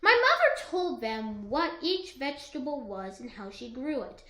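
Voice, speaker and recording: High, bright, lively woman reading aloud. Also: measured pace. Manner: expressive, dramatized reading. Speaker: teacher co-reading aloud to a class. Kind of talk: read-aloud storytelling for children. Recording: echoey room.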